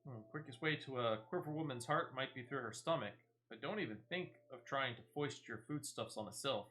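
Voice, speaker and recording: A man's voice: mid-range voice, brisk, sharp tone, a little hiss or hum, close to an everyday voice. A man voicing a wry forest hut dweller in a fantasy game, who dryly hands out advice.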